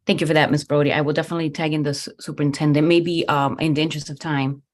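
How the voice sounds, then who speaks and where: A woman chairing a public meeting, moderating in a brisk, procedural way. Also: low-pitched, flat delivery.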